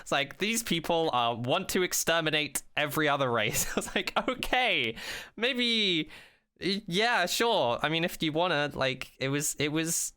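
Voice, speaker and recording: Man, podcast co-host, bright crisp voice, casual opinionated rant, animated retelling, sweary. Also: on the high side, measured pace. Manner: animated retelling with mock quotes, frequent swearing. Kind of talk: casual chat, opinionated rant about a TV show.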